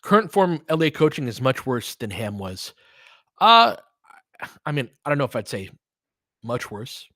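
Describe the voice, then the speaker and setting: A man's voice: mid-range voice, very animated, normal speed, pauses often, pushed and bright, no echo, studio quality. An American man giving casual live basketball commentary, conversational and analytical, often in clipped phrases. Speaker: host and play-by-play commentator for a fan stream.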